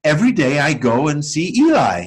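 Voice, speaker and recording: Carefree young puppet girl played by a man, very high, light and encouraging, for a storybook. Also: sing-song, fairly quick, breathy edge. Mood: breezy, encouraging. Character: Lucia, carefree young female wooden puppet person.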